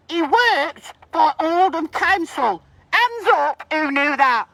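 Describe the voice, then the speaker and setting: A woman's voice: extremely high pitch, fairly bright tone, no room sound, poor audio. A woman gives a confrontational, accusatory protest speech out on a street, addressing bystanders through a megaphone. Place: outdoors on a residential street.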